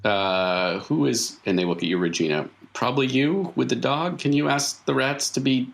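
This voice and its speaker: A man speaking as game master in an improvised tabletop roleplaying session, with measured, halting phrasing. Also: mid-range voice, vocal fry. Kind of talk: improvised tabletop roleplay narration and in-character dialogue.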